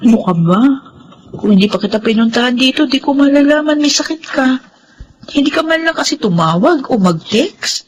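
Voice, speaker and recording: An actress playing a caring, steady best friend in a Filipino drama, speaking in an earnest, reassuring way. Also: female voice, high-pitched, normal speed, slight background noise, poor audio. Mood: caring, scolding. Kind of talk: Filipino radio-style drama acting.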